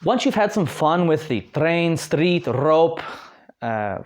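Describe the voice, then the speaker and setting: A man's voice: on the high side, big pitch swings, slow. A young American man from Detroit giving a casual spoken lesson, chatty, with many fillers and restarts. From Detroit, United States. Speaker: guest language learner.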